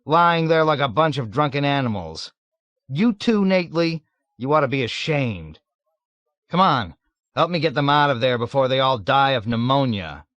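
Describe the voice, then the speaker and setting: A man's voice: high-pitched, wildly swooping pitch, fast talker, hardly any pauses, no echo, muffled recording, pitched above the performer's own voice. Male reader as a preachy, indignant officer type, tutting and scolding. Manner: scolding, self-righteous.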